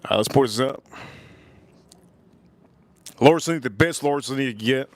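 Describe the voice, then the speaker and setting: A man's voice: medium pitch, moderate pace, noisy background. A man reviewing whiskey on a casual livestream, enthusiastic and repeating his phrases, with sighs and exclamations between sips. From United States. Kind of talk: casual livestream tasting chat.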